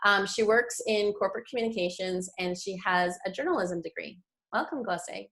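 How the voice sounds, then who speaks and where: A woman's voice: medium pitch, normal speed, a bit bright. This woman hosts a conversational interview in a mid-range voice. She sounds upbeat and encouraging as she introduces her guest and moves the talk along. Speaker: host.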